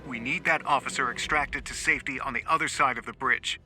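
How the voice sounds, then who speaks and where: Cold sardonic army higher-up in a video game, dry measured briefing voice, music under it. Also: male voice, fairly even intonation, sharp tone, husky. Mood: coolly instructing. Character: cool, cynical male military intelligence superior briefing troops. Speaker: mission commander. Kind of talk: video game cutscene acting.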